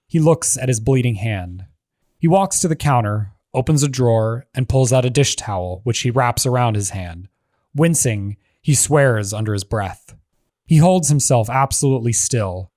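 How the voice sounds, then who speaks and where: A man narrating the scene descriptions of a screenplay in a measured, even reading. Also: mid-range voice, no echo, clean studio sound. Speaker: narrator reading stage directions.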